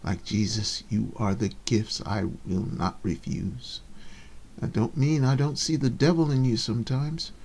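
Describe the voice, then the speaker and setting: A man voicing a tough, loving elderly woman pastor in a story reading, with solemn, measured preaching. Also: mid-range voice, darkish tone, a little hiss or hum, lightly acted. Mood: solemn, heartfelt.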